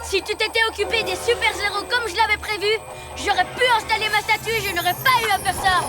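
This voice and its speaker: A bratty boastful little ninja bad guy, super high voice, cartoon, loud music behind. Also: steady tone, fast. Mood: defiant, menacing. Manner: pompous, taunting. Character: scheming boastful young boy ninja villain. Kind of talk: French cartoon dubbing. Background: loud cartoon score.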